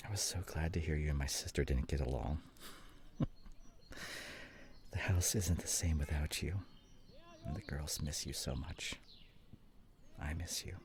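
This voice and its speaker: A man acting a loving, grieving husband and father in an emotional ASMR audio drama, halting and tender, often dropping toward a whisper. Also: rumbling low, background noise. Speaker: audio roleplay performer.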